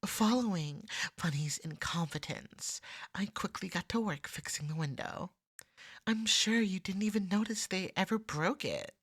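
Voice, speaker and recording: Jealous anime cat girl, acted by a woman: slow, very varied pitch, snide, possessive, lovesick, ASMR roleplay. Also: breathy edge, no echo, studio quality, full character voice. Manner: snippy, self-satisfied, cooing.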